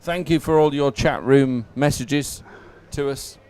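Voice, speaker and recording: A man co-hosting live sports coverage, explaining things in a measured way. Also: medium pitch, fairly even intonation, a little mellow, clear, resonant tone. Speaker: sports commentator and co-host. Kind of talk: live sports broadcast commentary.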